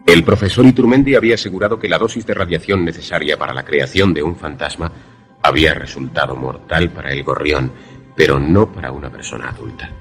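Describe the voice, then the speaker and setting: A man's voice: rumbling low, creaky. A man playing a grave, questioning protagonist who narrates a suspense radio drama in formal, literary language. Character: grave, questioning male narrator-protagonist caught in eerie events.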